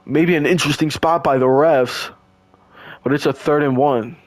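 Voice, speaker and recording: A young man, hoarse and losing his voice, doing casual, slangy play-by-play of a video game football match. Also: fairly high pitch, moderate pace, no echo. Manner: excitable, slangy, stumbles and restarts. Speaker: play-by-play commentator.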